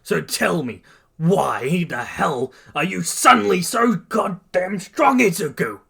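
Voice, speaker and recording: A man voicing a brash, hot-tempered teenage boy hero in a combative, shouty way. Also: sits high, expressive, slow pace, gravelly, slightly rough, voice pushed up, heavily acted. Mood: angry, bitter.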